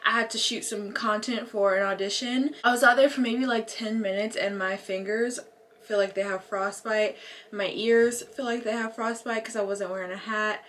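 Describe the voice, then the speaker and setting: A woman's voice: mid-range voice, steady tone, moderate pace, a bit bright, a little hiss or hum. A young American woman chatting casually and informally to her vlog audience, stretching out words for emphasis. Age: young adult. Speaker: lifestyle vlogger.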